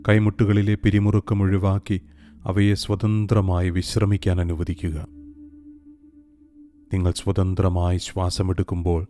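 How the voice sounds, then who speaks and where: A man narrating a scripted guided meditation in a calm, soothing, unhurried way with long pauses. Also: low-pitched. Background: soft meditation music with singing bowls.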